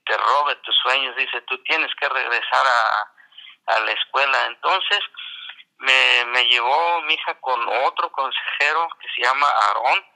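A man's voice: medium pitch, a little slow, sharp tone, poor audio. An older man whose first language is not Spanish, telling his story in a radio interview, hesitant with many restarts. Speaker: interview guest, graduate.

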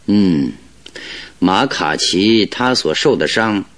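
Analytical detective, radio play, good-humoured, authoritative, fairly low pitch, very expressive melody. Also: male voice, takes it slowly. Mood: thoughtful, assured. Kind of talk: Mandarin radio drama acting.

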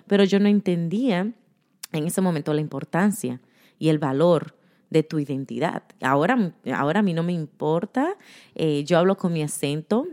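Woman in her thirties, candid and chatty, low voice, slips into English, casual conversation. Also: mellow. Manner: candid, laughs often, mixes in English words.

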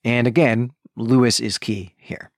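A man's voice: mid-range voice, steady tone, measured pace, no room sound, clean studio sound. A man hosting a podcast, explaining a book in a conversational, emphatic way. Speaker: podcast host. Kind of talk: conversational book recap commentary.

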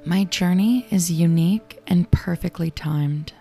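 A young woman with a low, raspy voice guides listeners through calm affirmations, speaking slowly and soothingly. Gentle music plays clearly underneath her throughout. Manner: slow, soothing affirmation reading. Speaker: affirmation and meditation host. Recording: clear music bed behind voice.

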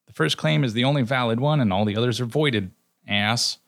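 A man's voice: mid-range voice, fairly quick, a bit bright, no room sound, clean studio sound, voice pushed up, lightly acted. A man voicing a peevish, foul-mouthed male trickster god who snaps at everyone. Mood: irritated. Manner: exasperated, snapping.

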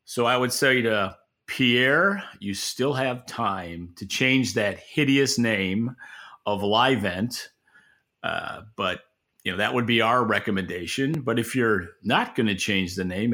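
Guy on a podcast giving his take, sharp bright voice, kinda halting and informal. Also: on the low side, animated. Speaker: podcast co-host and industry analyst. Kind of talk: opinionated market commentary in conversation.

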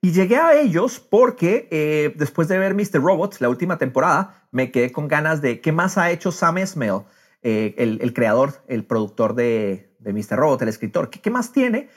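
Un hombre que conduce un podcast de charla informal, contando las cosas con animación y entusiasmo. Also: male voice, a little high, sing-song, moderate pace. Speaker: podcast host. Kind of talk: casual podcast interview.

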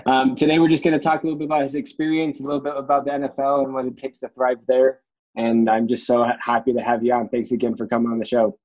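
A male podcast host introducing his guest and asking questions in a friendly, halting way with many fillers. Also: mid-range voice, little pitch movement, a little mellow. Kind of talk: casual interview hosting. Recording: muffled lo-fi audio.

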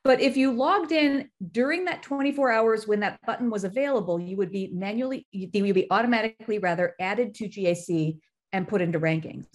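A woman's voice: mid-range voice, brisk. A woman guest on a podcast interview, explaining things methodically and in detail, step by step.

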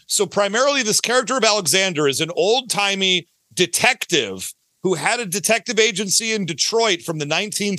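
A man's voice: sits high, bright, forceful tone, no echo. A talkative man speaking casually and emphatically as a podcast guest, often repeating phrases to drive a point home. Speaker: podcast guest, audio drama creator. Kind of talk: casual interview chat.

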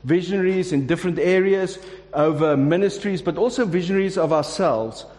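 A man's voice: high voice, a little fast, a little mellow. A man preaching a sermon to a church congregation, with a casual, joking manner and frequent restarts. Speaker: pastor. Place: church hall. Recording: long hall reverb.

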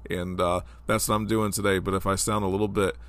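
A man's voice: low voice, flat delivery, fast, fairly bright tone. A man in his forties hosting a casual solo podcast, talking in a rambling, conversational way with lots of fillers, his voice stuffed up from a cold. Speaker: podcast host.

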